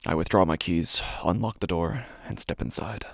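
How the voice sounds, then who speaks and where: Guy acting as uneasy city man telling a scary story, really low voice, lots of fry, hushed and deliberate. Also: dry recording, clean studio sound. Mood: tense, subdued. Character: uneasy city dweller recounting a creepy homecoming. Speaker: narrator of a horror fiction podcast. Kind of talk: scripted first-person horror narration.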